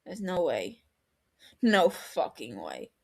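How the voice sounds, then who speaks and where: A woman's voice: sits low, wildly swooping pitch, slow, gravelly, breathy edge, pitched below the performer's own voice, exaggerated voice. Woman acting a defiant, exasperated elder brother. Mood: shaken denial. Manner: forceful, indignant, defensive.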